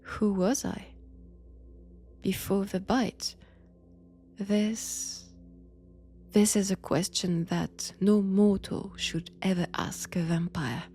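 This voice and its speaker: Woman playing a slow, creaky-voiced French-accented vampire. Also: medium pitch, fairly even intonation, faint music behind. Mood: teasing, secretive. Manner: slow, hushed, intimate, often slipping into whispers. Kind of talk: roleplay audio monologue.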